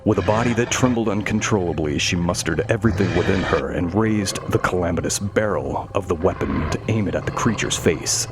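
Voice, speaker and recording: A man narrating a scripted horror story in a measured, dramatic way. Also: low voice, very noisy.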